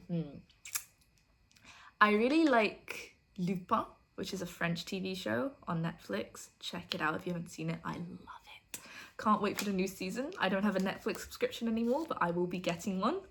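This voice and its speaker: A woman's voice: mid-range voice, fairly bright tone. A young woman from the UK, a university student vlogging in a casual get-to-know-me chat, chatty and giggly. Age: young adult. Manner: chatty, giggly, self-deprecating.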